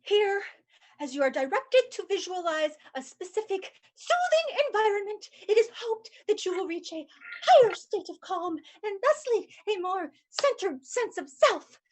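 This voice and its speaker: A woman's voice: extremely high pitch, sing-song, unhurried, heavily acted, low-quality recording. A woman voicing a relentlessly upbeat female relaxation therapist in a livestream script reading, eager, pleading and soothing.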